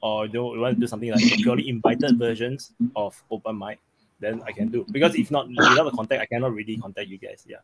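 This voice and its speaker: A man hosting a video call, speaking haltingly and repeating words. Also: mid-range voice, steady tone, crowd noise, rough recording. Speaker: host. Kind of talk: casual video call chat.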